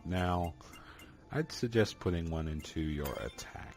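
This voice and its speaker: A young man with a deep voice giving easygoing commentary while playing a video game, sounding flat even when enthusiastic. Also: a little slow. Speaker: let's play gamer. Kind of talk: casual gameplay commentary. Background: loud game music.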